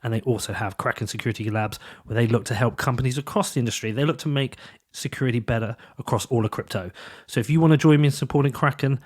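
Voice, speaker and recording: A man's voice: medium pitch, quick pace, darkish tone, slightly rough. A man hosting a podcast, talking through his intro and sponsor reads in a chatty, upbeat way while stuffy from a cold. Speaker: podcast host.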